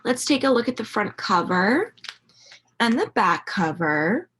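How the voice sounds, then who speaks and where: Animated woman reading aloud to children. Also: fairly high pitch, a little hiss or hum. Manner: animated, expressive storytelling with dramatic emphasis and pauses. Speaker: teacher reading a picture book aloud to young children. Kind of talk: interactive children's read-aloud, asking questions.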